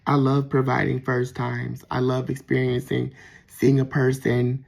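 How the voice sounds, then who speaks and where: A man with a soft voice, talking reflectively in a casual personal monologue with frequent pauses and restarts. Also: medium pitch, monotone, creaky. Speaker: vlogger.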